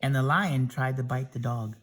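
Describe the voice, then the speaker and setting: A man reading a children's story aloud, in an expressive way with chatty asides. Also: mid-range voice, moderate pace. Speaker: storybook reader.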